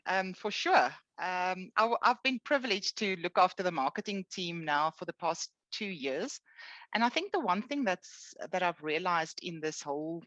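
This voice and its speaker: A middle-aged South African woman, Afrikaans her first language, chatting freely and warmly as a podcast guest. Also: lowish voice, no echo, highs cut off. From Eastern Cape, South Africa. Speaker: guest, HR executive. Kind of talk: podcast interview.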